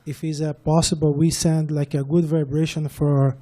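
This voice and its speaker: Hesitant, very dark-voiced man. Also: a little high, level tone, moderate pace. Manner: polite, hesitant, humble. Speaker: audience member asking a question.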